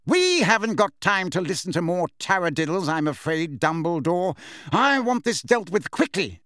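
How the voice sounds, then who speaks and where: A man's voice: sits high, big pitch swings, normal speed, a bit bright, dry recording, clean studio sound, voice pushed up. A man voicing a pompous, impatient older government minister in an audiobook, lofty and supercilious. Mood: snappish. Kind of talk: audiobook character voice.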